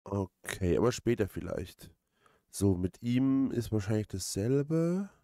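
A man giving casual gaming commentary, halting and repeating words as he rambles. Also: fairly low pitch, lively intonation, warm tone, dry recording, clean studio sound. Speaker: let's play host.

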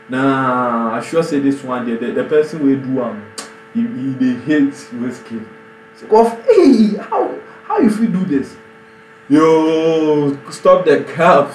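Excitable young Nigerian man reacting. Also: fairly high pitch, reverberant, a little hiss or hum, low-quality recording. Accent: Nigerian Pidgin English. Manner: excitable, exclaiming, stammering repeats. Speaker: YouTube reaction host. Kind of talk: animated casual reaction commentary in Pidgin. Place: small bedroom indoors.

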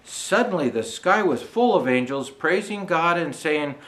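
An older man reads a children's storybook aloud as Santa the storyteller. His voice sits fairly high for a man and moves up and down with plenty of expression. He phrases each line deliberately. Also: lively intonation, fairly bright tone. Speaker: storyteller dressed as Santa Claus.

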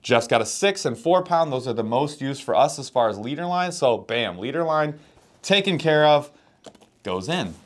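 A man's voice: mid-range voice, moderate pace. A man in his thirties co-hosting a fishing channel, explaining tackle in casual chat with lots of "like" fillers, recorded on a lapel mic in an indoor studio. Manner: relaxed and explanatory, with many "like" fillers. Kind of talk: casual gear-review chat.

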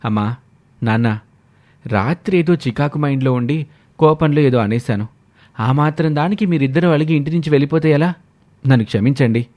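A man's voice: on the high side, brisk, pauses often, darkish tone, lightly acted. A man playing a compliant, hen-pecked young husband and son in a family moral story. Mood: pleading.

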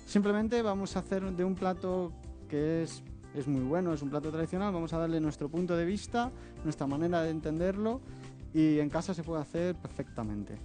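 A male chef with a slight Catalan accent and a fairly high, mellow voice talks viewers through a recipe step by step. He keeps checking in with a little tag question. Soft music plays in the background of a TV kitchen. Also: fairly quick. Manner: explains step by step, tags phrases with "eh?". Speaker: chef guest. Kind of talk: cooking demonstration. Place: TV studio kitchen.

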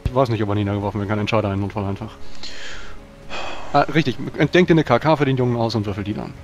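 Fast-talking lower-voiced man at game table. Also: faint music behind. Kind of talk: casual game-table chat.

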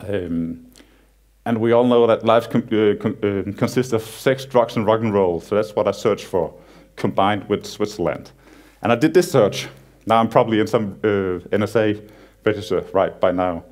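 Danish man, 30s, conference speaker on stage in a cinema hall, easygoing and joking, stumbles a bit. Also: lowish voice. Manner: relaxed, self-deprecating humor with frequent halting restarts. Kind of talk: conference talk, casual and joking.

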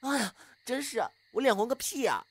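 A man's voice: very high-pitched, lively intonation, moderate pace, background noise. A man playing a flustered, bashful, grumbling young housemate in an audio drama. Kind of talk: Chinese fan audio drama acting.

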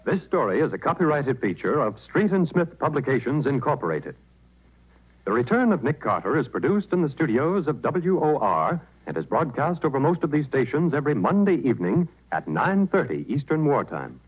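A man's voice: fairly high pitch, very animated, moderate pace, husky. A man announcing scripted credits as a 1940s radio announcer. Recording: muffled 1940s radio recording.

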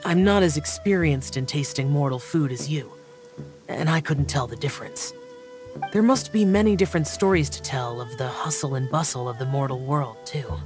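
A voice actor playing an aloof, reserved young immortal guardian in a video game, quiet and stiff. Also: male voice, medium pitch, moderate pace, soft background music, lightly acted. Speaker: game character.

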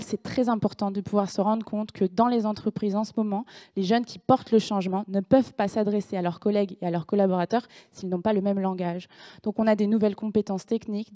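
A woman in her twenties from France, an entrepreneur, making an energetic standing presentation at a debate with many fillers, speaking into a handheld microphone in a large room. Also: medium pitch. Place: large room at a public event.

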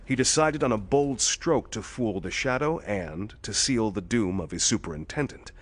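A steady police detective from a pulp thriller audio drama. His voice is fairly low, and he lays out the facts in a quiet, even, methodical way with firm authority. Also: moderate pace. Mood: measured, grave.

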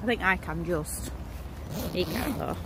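A woman's voice: fairly low pitch, unhurried, noisy background. Chatty woman vlogging. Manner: chatty, informal, lively. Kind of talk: casual vlog chat. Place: home, then outdoor shop tent display. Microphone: phone held at arm's length.

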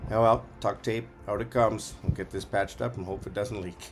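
A man's voice: fairly low pitch, fairly even intonation, moderate pace, faint music behind. A man giving a casual, folksy running commentary as a vlog host, explaining as he goes.

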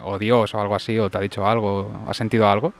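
A man's voice: on the low side, flat delivery, fast, vocal fry. A young man hosting a mock-serious street interview, asking absurd questions with a straight face. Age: young adult. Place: outdoor town streets. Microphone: handheld furry mic.

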